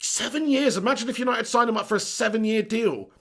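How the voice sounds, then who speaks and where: Excitable high-voiced stream host. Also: male voice, quick pace. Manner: excitable, laughs often, casual swearing. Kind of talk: live stream chat reading viewer comments.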